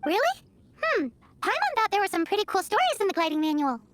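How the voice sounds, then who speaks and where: A woman voicing a small, childlike fairy companion in a video game, squeaky, chirpy and cheeky. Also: sing-song, fast, no echo. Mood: skeptical. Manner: refers to self in third person, exclamatory.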